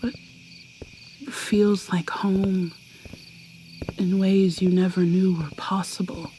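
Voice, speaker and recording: A woman playing an ancient vampire mermaid in an ASMR roleplay, whispering softly and haltingly with a wistful manner. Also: medium pitch, fairly even intonation, slight background noise, natural voice, low-quality recording. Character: ancient female vampire mermaid, guarded and sorrowful.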